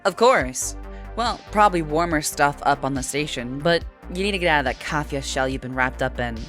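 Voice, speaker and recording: Seorang wanita memerankan alien betina berbulu dari klan prajurit yang tangguh, blak-blakan, dan santai dalam buku audio. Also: female voice, low-pitched, animated, normal speed, fairly bright tone, faint music behind, pitched below the performer's own voice. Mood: encouraging. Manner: brash, casual, blunt. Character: brash, tough warrior-clan furry alien female. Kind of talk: audiobook character acting.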